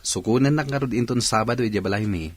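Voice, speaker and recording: A man's voice: medium pitch, fast, no echo, lightly acted. A man playing a dutiful, polite young man in an Ilocano radio drama. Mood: earnest.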